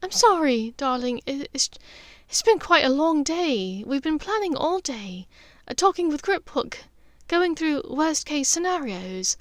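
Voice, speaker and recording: Young witch sweetheart, audiobook, woman narrator acting: high, earnest, decisive, emphatic. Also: very animated, a little slow, dry recording.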